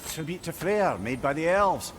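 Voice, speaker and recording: An actor with a Scottish accent playing a wry, learned older companion who tells stories drily in a video game. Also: male voice, a little high, lively intonation, normal speed, a little hiss or hum, natural voice. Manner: dry, wry, storytelling. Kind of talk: video game dramatic dialogue.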